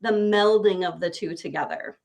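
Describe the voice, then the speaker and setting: A woman's voice: on the low side, normal speed, vocal fry. Enthusiastic woman hosting a chat. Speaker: host. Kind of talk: casual interview chat.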